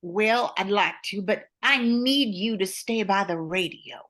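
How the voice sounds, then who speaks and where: A woman's voice: mid-range voice, measured pace, a bit bright, close to an everyday voice. A woman playing a capable but worried airline owner and pilot, reading earnestly in a radio play. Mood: resolute. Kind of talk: old-time radio play acting.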